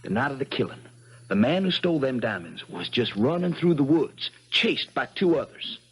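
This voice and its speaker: An actor playing an earnest, dogged American country lawyer in a 1970s radio drama, husky and folksy, with dramatic insistence. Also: male voice, medium pitch, sing-song, normal speed. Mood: triumphant, insistent.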